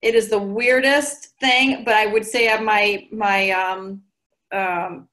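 A woman in her forties giving chatty, animated coaching advice over a video call from her living room. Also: medium pitch, takes it slowly. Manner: chatty and animated, gestures a lot. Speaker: guest recruiter. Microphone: video call laptop mic.

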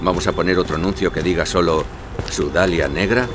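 Actor as deep-voiced commanding police commander, Castilian Spanish. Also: male voice, fairly even intonation, a little fast, noisy background, lightly acted. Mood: businesslike. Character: commanding, gruff male police commander under pressure. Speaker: actor in an audio crime drama. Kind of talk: naturalistic Spanish radio drama acting.

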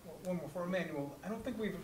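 A man's voice: high voice, quick pace, darkish tone. Distant, echoey male reporter. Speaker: sports reporter. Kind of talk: press conference questioning. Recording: echoey, distant, faint room noise.

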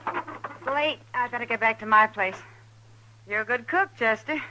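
A woman's voice: fairly high pitch, animated, normal speed, close to an everyday voice. An actress playing an easygoing, teasing frontier woman in a western radio drama. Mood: friendly, teasing. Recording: noisy, telephone-thin 1950s radio recording.

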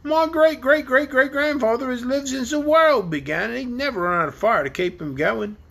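A woman voicing a gruff, boastful young male dragon in a storybook reading. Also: sits high, lively intonation, moderate pace, voice pushed up, exaggerated voice. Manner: sneering, blunt. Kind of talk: audiobook character reading.

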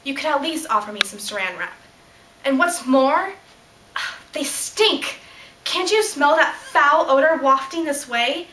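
A woman's voice: high-pitched, lively intonation, a little slow, sharp tone, heavily acted. A sixteen-year-old girl playing a fussy, exasperated germophobe patient in a comic stage monologue. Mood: indignant, disgusted. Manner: exasperated, emphatic. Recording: echoey room, distant mic, faint noise.

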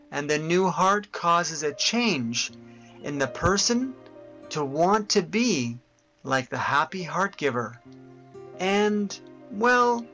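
An adult gently tells a children's story for a church service, voiced over pictures. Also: medium pitch.

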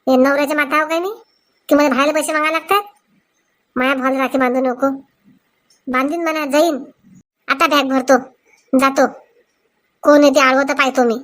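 A woman's voice: high voice, steady tone, a little fast, pauses often, fairly bright tone. A woman voicing a quarrelsome young daughter-in-law in a comic cartoon, complaining combatively. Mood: defiant.

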